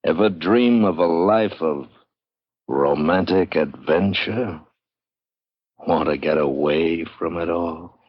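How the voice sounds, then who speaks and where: An actor playing a portentous voice that lures listeners to adventure in an old radio intro. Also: male voice, deep voice, takes it slowly, no room sound. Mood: ominous, enticing. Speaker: radio show opening voice. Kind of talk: 1940s-50s dramatic radio intro. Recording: dull, dry vintage radio recording.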